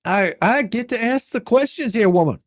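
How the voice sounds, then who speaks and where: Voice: mid-range voice, expressive, moderate pace, no echo, lightly acted. Performer acting a pushy, folksy attorney, swooping intonation. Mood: exasperated. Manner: badgering, sarcastic, coarse. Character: pushy, folksy, exasperated attorney questioning a witness. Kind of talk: dramatic reading of a deposition transcript.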